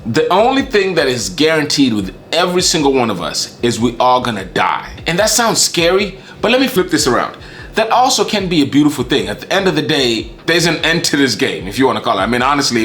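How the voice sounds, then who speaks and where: A man from Kenya hosts his own channel and talks through his points in a relaxed, conversational way. His voice sits in a middle pitch, and he often repeats words and restarts sentences as he goes. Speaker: host. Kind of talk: casual talking-head monologue.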